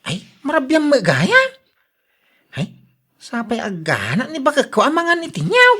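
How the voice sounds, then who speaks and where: A woman's voice: sits high, huge pitch swings, unhurried, sharp tone, husky, full character voice. A woman with an Ilocano accent playing a reluctant, grumbling villager in a radio drama. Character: reluctant, grumbling village spouse sent on errands.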